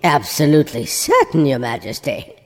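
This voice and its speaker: A man's voice: a little high, huge pitch swings, moderate pace. A man playing an insolent, self-assured gnome army general in an audio drama, speaking with blunt dismissiveness. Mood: firm, cautioning. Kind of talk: full-cast audio drama acting. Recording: long reverberant hall echo.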